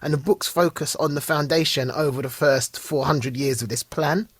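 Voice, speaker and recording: A man's voice: fairly high pitch, fairly even intonation, moderate pace, husky, no room sound. A man co-hosting a podcast, chatting casually about TV shows, laughing often and trailing off mid-sentence. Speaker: podcast co-host. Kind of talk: casual chat reviewing TV shows.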